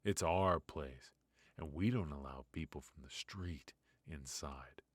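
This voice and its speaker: Male actor as noir mafia boss, extremely low voice, unhurried murmur, coaxing with quiet menace, possessive, close and dry. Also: husky, no echo, full character voice. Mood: suspicious, menacing. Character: brilliant, ruthless male mafia boss, possessive yet protective. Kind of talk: noir ASMR roleplay, intimate dramatic monologue. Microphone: close studio mic, dry.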